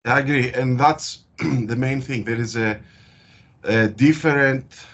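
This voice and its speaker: A man from Greece with a Greek accent, speaking in an interview as a guest researcher and explaining his work with frequent hesitant pauses. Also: mid-range voice, fairly bright tone. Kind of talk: interview over a call. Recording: dull lo-fi call audio.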